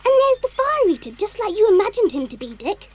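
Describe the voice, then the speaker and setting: Very high, forthright child. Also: female voice, sing-song, brisk, a little mellow. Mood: excited. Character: forthright, observant English child adventurer. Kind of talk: children's audio drama acting.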